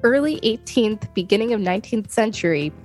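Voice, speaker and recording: A woman's voice: mid-range voice, expressive, fairly quick, darkish tone, faint music behind. A woman co-hosting a podcast, reading an article aloud steadily, now and then tripping over names. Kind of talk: casual read-aloud.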